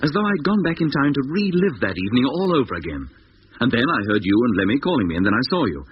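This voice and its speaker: An actor playing an earnest, sometimes dazed moon expedition captain in a 1950s radio drama. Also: male voice, medium pitch, big pitch swings, quick pace, lightly acted. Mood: dazed, wondering. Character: rocket ship captain, dazed yet commanding male moon explorer. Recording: dull, hissy 1950s radio recording.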